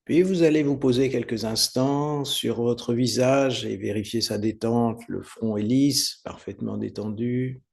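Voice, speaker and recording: A man teaching guided meditation, giving unhurried, soothing step-by-step instructions with pauses. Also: medium pitch, fairly even intonation, vocal fry, a little mellow. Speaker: yoga nidra meditation teacher.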